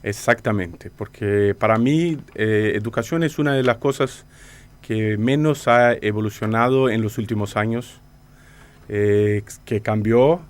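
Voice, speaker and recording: A man from Brazil with a Brazilian accent and native Portuguese, giving halting explanatory interview answers. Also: fairly low pitch. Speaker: interview guest, company director.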